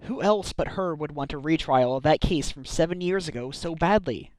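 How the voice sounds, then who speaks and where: A man's voice: on the high side, lively intonation, normal speed, darkish tone, dry recording, close to an everyday voice, poor audio. A man playing an earnest, weary but determined defense lawyer in a game fan dub, talking conversationally over music. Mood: serious. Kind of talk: scripted anime-game fan dub acting. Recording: prominent music behind the voice.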